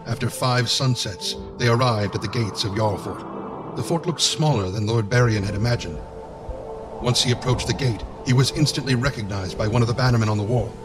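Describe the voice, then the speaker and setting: A man giving dramatic, scripted fantasy narration in the manner of an epic storyteller. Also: medium pitch. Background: prominent music.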